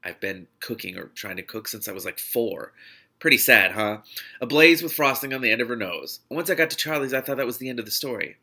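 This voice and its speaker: A man reading a novel aloud expressively, in an informal, animated way that leaves room for his own reactions. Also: medium pitch, a little fast. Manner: animated, informal, breaks off to comment. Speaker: reader and host of a read-along series.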